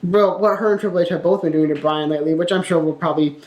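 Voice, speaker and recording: Low-voiced young woman, echoey room. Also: racing, darkish tone, highs cut off. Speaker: podcast co-host. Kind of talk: casual panel chat about pro wrestling. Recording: roomy, echoing room sound.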